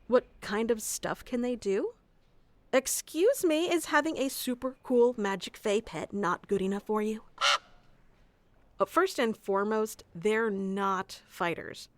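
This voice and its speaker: A woman's voice: fairly high pitch, measured pace, no echo, natural voice. Woman acting a bossy, geeky wizard girl, dry studio sound. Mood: proud, explanatory. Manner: informal, smug, flustered asides, explanatory. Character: young tsundere wizard teacher, bossy, geeky, secretly fond. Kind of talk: scripted ASMR roleplay audio addressed to the listener.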